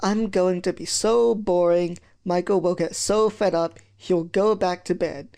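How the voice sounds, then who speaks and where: A man voicing a bored, stubborn older brother with a monotonous, repetitive delivery in a children's story. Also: high voice, a little slow. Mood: smugly bored.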